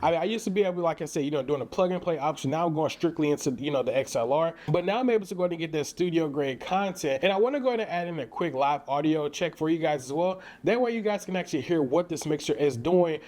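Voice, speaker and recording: A man's voice: a little high, lively intonation, quick pace, few pauses, a little mellow. A man with a St. Louis American accent giving a casual, enthusiastic product review in slangy, conversational language. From St. Louis, Missouri, United States. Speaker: tech reviewer and content creator.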